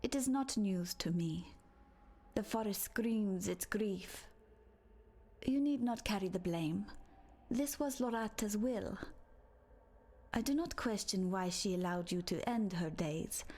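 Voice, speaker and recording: Slow, husky mystic seer. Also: female voice, mid-range voice, expressive. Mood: mournful, gentle. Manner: slow, cryptic, hushed. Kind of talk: video game dialogue acting.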